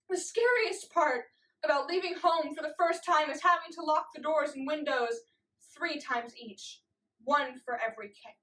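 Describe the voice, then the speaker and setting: A young woman performing slam poetry in a dramatic, deliberate way, with emphatic pauses. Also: sits high, a bit bright. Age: young adult. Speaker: slam poet. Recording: voice distant from the mic.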